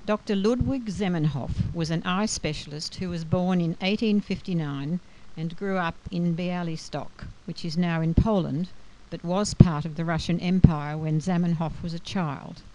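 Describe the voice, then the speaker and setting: A woman giving a scripted educational talk in a measured, explanatory manner. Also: lowish voice, warm tone, low-quality recording. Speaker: lecturer.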